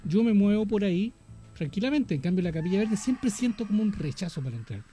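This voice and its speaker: Fast-talking high-voiced Chilean man. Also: lively intonation, mellow, faint music behind. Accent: Chilean Spanish. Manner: chatty, quick interjections. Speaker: radio host. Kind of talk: casual radio chat.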